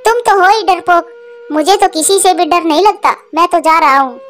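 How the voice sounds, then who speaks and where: A woman's voice: extremely high pitch, moderate pace, a bit bright. A woman voicing a spoiled, rude young male crow, demanding and cocky, in a cartoon. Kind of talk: Hindi cartoon voice acting.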